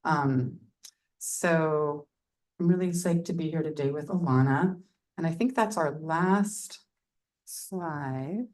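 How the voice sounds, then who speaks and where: A woman's voice: sits low, takes it slowly, darkish tone. A woman artist leading a casual public conversation at an event, hesitant with many ums and plainly enthusiastic. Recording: voice heard from far off the mic.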